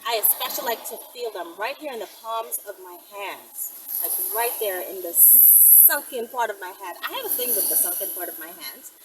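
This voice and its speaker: A woman's voice: medium pitch, expressive, normal speed. A woman chatting casually to viewers in a rambling vlog, with a brash, playful, foul-mouthed manner. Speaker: video creator. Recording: distant mic with clear background noise.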